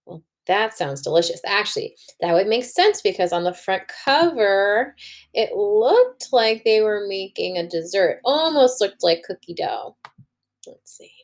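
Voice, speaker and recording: Slow, expressive woman teacher reading aloud. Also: mid-range voice. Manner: unhurried, thinking aloud for young children. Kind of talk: children's read-aloud and story discussion. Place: indoors at a computer.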